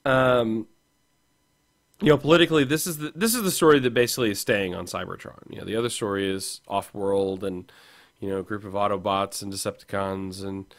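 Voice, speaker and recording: A man with a slightly hoarse, rough voice, co-hosting a casual podcast chat about comics and rambling with fillers and restarts. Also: on the low side, fairly quick. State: hoarse, losing his voice. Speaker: podcast co-host.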